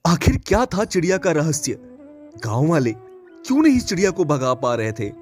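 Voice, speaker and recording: A man's voice: a little high, very animated, normal speed, darkish tone, faint music behind. A man narrating a cartoon story in a dramatic, storytelling manner. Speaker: narrator and character voices.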